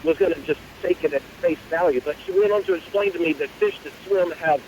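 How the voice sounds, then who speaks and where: A man's voice: on the high side, quick pace, background noise. A man on a casual phone call, telling his story in a dry, exasperated way. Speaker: phone-in guest. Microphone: telephone.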